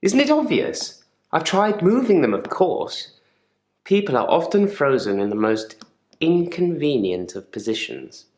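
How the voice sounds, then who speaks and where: An actor playing a controlled, quietly menacing therapy patient in a game drama, speaking in a measured, deliberate and politely probing way. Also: male voice, a little high, very animated, reverberant. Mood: quietly eerie. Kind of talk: live-action game drama monologue.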